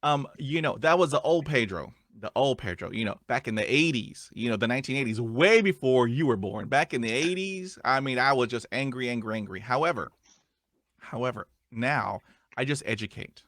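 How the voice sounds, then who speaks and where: A man's voice: mid-range voice, expressive, moderate pace, a bit bright, dry recording, clean studio sound. A middle-aged man who stutters, hosting a podcast, chatting with many fillers. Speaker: podcast host. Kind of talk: casual interview talk.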